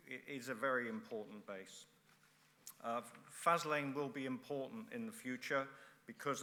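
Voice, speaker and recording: Middle-aged ex-serviceman from England, hesitant floor speech; bright, forceful tone, long hall reverb. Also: mid-range voice. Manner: halting, many uhs and restarts. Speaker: first-time conference speaker, ex-air force. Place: large conference hall.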